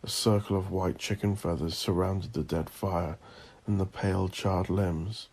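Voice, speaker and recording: A man with a low, raspy voice narrates dark horror fiction. His delivery is ominous and theatrical, with deliberate phrasing and a heavy creak in the tone. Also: steady tone. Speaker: audio drama narrator and host.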